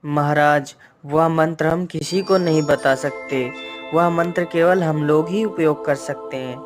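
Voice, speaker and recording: A cunning male thief from a cartoon moral tale, played by a voice performer. He speaks in a measured, persuasive way, sure of his own scheme. Faint music plays behind the voice. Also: on the high side, steady tone, natural voice. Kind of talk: animated Hindi moral-story dialogue.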